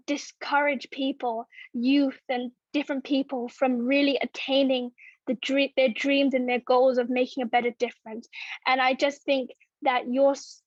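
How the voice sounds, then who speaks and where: A woman's voice: high voice, no room sound. Young woman, moderator, earnest, dull lo-fi recording, dry sound. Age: young adult. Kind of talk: online panel moderation.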